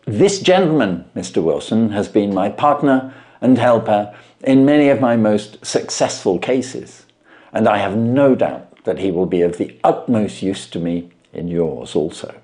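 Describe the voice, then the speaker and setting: A man voicing a self-assured gentleman detective in an audiobook, formal, courteous and emphatically articulate. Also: on the low side, animated, measured pace. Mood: cordial, brisk. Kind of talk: audiobook character reading.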